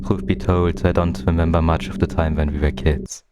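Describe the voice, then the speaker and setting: A man voicing an introspective, melancholy twin in a visual novel, narrating in a measured, confessional manner. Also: extremely low pitch, level tone, heavy background noise. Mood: wistful, somber. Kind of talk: scripted narration. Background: loud game music.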